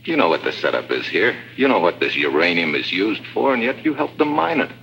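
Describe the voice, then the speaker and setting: A man's voice: low-pitched, sing-song, a little fast, gravelly, fairly bright tone, muffled recording, a little hiss or hum, heavily acted. An actor playing a tough, stubborn American man undercover in a prison camp, speaking in blunt slang in 1950s radio drama style. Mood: wary. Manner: blunt, slangy, hard-boiled. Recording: old radio drama with music behind.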